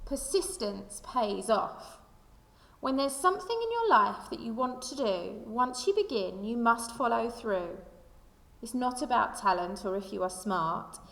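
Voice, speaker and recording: Echoey, higher-voiced woman reading to children. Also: creaky, a bit bright. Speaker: primary school teacher. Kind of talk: children's picture book read-aloud. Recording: echoey room with long reverb.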